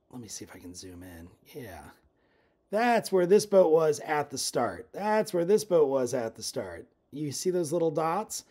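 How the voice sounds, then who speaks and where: A man's voice: fairly high pitch, mellow. A man in his fifties giving an informal instructional walkthrough as a sailing coach, conversational and explanatory.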